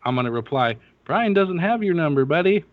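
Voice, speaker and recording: A man's voice: on the high side, expressive, a little fast, dry recording. A man in casual podcast chat, joking and swearing. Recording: dull, lo-fi sound.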